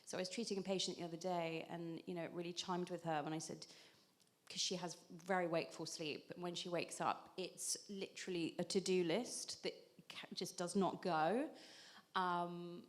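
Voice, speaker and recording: A woman's voice: fairly low pitch, steady tone, moderate pace. A woman in her thirties, an acupuncturist on a panel, explaining at length in a conversational way with frequent ums and restarts.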